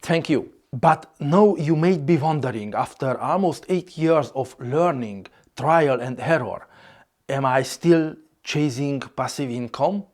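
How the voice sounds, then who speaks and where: Slow-speaking man with a Hungarian accent. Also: fairly high pitch, darkish tone. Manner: measured, slightly non-native phrasing. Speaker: YouTuber. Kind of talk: scripted vlog narration.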